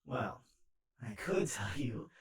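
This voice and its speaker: A smug, homicidal station AI speaks from a deep, distant echo. The delivery swings up and down theatrically, chatty and taunting, as in a sci-fi audio drama. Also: male voice, expressive, takes it slowly, crowd noise. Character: smug rogue station AI, gleefully murderous, theatrical. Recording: echoing, distant-sounding.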